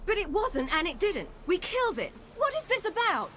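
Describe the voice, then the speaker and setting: An actress playing a headstrong young warrior woman in a video game, spirited and articulate, quick to challenge. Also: very high-pitched, very animated, background noise. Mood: angry, upset. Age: young adult. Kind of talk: cinematic video game acting.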